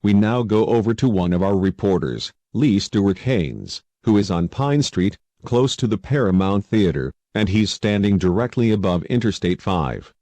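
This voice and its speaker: A man's voice: lowish voice, animated, moderate pace, hardly any pauses, warm tone, no room sound. A man playing a local TV news anchor, reading a scripted, serious breaking-news bulletin. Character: male local TV news anchor reporting an attack.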